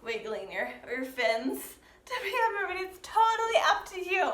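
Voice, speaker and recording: A woman teaching dance to young learners, explaining and demonstrating step by step with gentle, encouraging prompts. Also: very high-pitched, big pitch swings, normal speed. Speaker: dance teacher leading a children's pantomime lesson. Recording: roomy, echoing sound.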